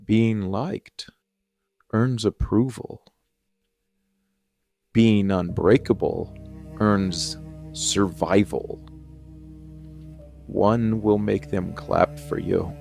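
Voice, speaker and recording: A man playing a brooding, hardened and defiant villain in a dark fantasy ASMR monologue, speaking with deliberate, dramatic pauses and measured intensity. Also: on the low side, gravelly, faint music behind. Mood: resolute, grave.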